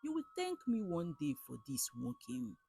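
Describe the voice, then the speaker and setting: A woman's voice: on the low side, wildly swooping pitch, moderate pace, warm tone, faint music behind. A woman with a Nigerian accent voicing a sly, worldly male friend in a folktale, insistent and knowing as he persuades. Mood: reassuring. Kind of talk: storybook folktale character.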